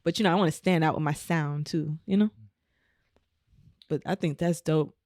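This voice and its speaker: Raspy-voiced woman chatting easily. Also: deep voice, moderate pace, darkish tone, no echo, clean studio sound. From Omaha, United States. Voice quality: naturally raspy voice. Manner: laid-back, frequent "you know" and laughs. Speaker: guest musician. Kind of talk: casual interview chat.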